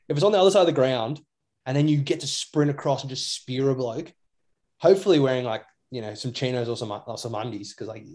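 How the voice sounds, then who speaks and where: A man's voice: medium pitch, darkish tone. Slangy young Australian man, rambling away. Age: young adult. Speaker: podcast co-host. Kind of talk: casual chat.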